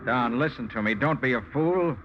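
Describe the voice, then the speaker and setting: A man's voice: mid-range voice, moderate pace, close to an everyday voice. A man acting a shrewd, confident private detective in a 1940s radio drama, assured and reasoning. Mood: urgent, persuasive. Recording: hissy, muffled old radio recording.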